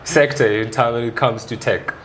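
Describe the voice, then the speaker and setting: A man's voice: mid-range voice, steady tone, moderate pace, a bit bright. A man hosting a podcast, opening the show and putting questions to his guest in a friendly, encouraging way. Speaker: podcast host. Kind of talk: casual interview. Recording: echoey room with clear background noise.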